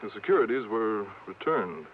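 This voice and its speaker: An actor playing a genial, slightly fussy insurance man in a radio drama, measured and chatty, with an American accent. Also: on the low side, big pitch swings. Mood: genial, informative. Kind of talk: 1950s radio drama acting. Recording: tinny old radio recording, faint noise.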